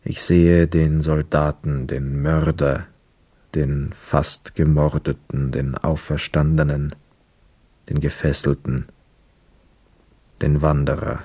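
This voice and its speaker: Deep-voiced, dreamy wandering war returnee. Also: male voice, flat delivery, dark tone, husky, dry recording, heavily acted. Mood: reflective, lyrical. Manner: measured, lyrical, deliberate phrasing. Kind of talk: literary radio play monologue and dialogue.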